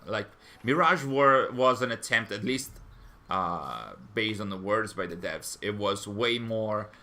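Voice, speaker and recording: A man's voice: fairly low pitch, lively intonation, measured pace, pushed and bright. A man hosting a fan live stream, chatting in a rambling, hesitant, conversational way and answering viewers. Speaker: fan channel stream host. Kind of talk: live stream chat, reading viewer comments.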